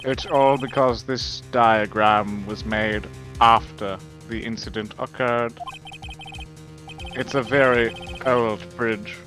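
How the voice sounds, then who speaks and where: A smug male prosecutor, acted out by a man in a courtroom game. He speaks slowly and formally at an average pitch, placing each phrase with deliberate care. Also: fairly even intonation, a bit bright, faint music behind. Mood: smooth, assured. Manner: measured, deliberate delivery. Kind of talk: live fan dub of a courtroom game.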